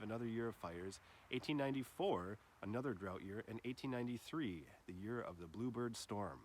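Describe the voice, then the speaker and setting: A man reading a literary nature essay aloud in a measured way, his phrases often trailing off softer and lower. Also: a little hiss or hum.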